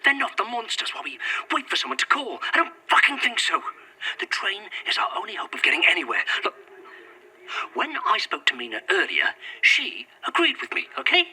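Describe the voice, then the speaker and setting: A man acting an irritable, sardonic fugitive in a horror audio drama, sarcastic and clipped, with a breathy voice. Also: high voice, big pitch swings, a little fast, bright, forceful tone, exaggerated voice, poor audio. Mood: irritated, sarcastic.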